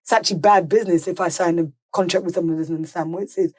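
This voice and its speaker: A woman's voice: lowish voice, fairly even intonation, rapid-fire, a little mellow. A woman speaking as a podcast guest in a casual panel discussion, blunt, emphatic and sure of herself. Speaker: podcast guest, music legal and business affairs manager.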